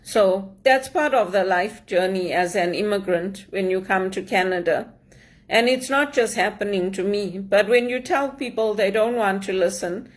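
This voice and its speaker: A woman's voice: medium pitch, moderate pace. Woman from zimbabwe talking straight to camera, earnest and aggrieved, keeps repeating stuff for emphasis. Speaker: immigrant sharing her story. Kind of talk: personal monologue.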